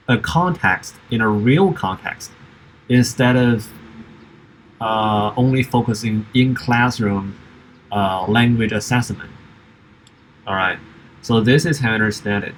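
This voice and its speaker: A man's voice: medium pitch, slight background noise, low-quality recording. A man whose first language is Chinese, giving a reflective spoken summary with frequent fillers and restarts. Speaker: summer institute participant.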